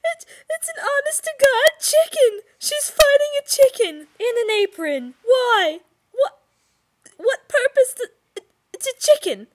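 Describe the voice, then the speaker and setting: A woman voicing a melodramatic, excitable young woman in a cartoon parody, theatrical and tongue-in-cheek. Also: very high voice, unhurried, heavily acted. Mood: incredulous. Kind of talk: anime parody fan dub.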